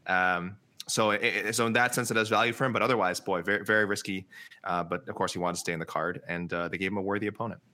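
A man's voice: low-pitched, fairly even intonation, fast talker, pushed and bright. A man co-hosting a fight talk show, analysing at length with frequent fillers and restarts. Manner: rambling, many fillers and restarts. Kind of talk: casual analysis chat.

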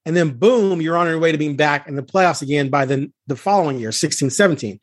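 A man's voice: fairly high pitch, brisk, no echo, clean studio sound. A man hosting a casual sports podcast, speaking in an emphatic, argumentative, opinionated way. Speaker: podcast host and sports reporter.

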